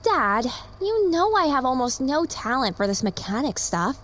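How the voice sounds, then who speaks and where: A high-voiced young filly, wry and grumbling, in an audio drama. Her pitch moves in lively swings and she sounds self-conscious and a bit sulky. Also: normal speed. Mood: complaining.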